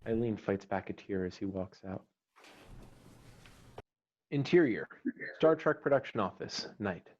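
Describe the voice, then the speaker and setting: A man's voice: on the low side, expressive, a little slow, a little mellow, slight background noise. Terse male script narrator, slightly dull audio. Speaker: stage-direction narrator.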